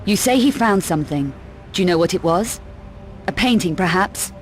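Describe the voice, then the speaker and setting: A woman's voice: fairly low pitch, expressive, normal speed, faint music behind, close to an everyday voice. A woman with a British accent playing a tough, sardonic female adventurer in a video game, speaking in a clipped, dry, demanding way. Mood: cool, probing. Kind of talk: video game cutscene acting.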